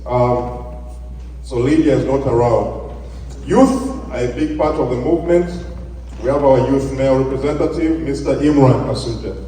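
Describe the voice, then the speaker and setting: A man's voice: mid-range voice, warm tone, recorded from a distance, slight background noise. Man announcing in an echoey hall. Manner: plain, measured announcing with fillers. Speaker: event host introducing party team. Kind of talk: speech to a gathering.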